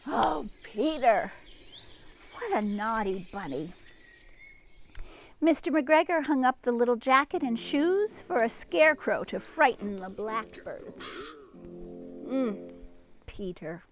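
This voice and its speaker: A woman reading a storybook aloud to young children, expressively, with dramatic pauses and little exclamations and asides to her listeners. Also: high voice. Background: music and sound effects.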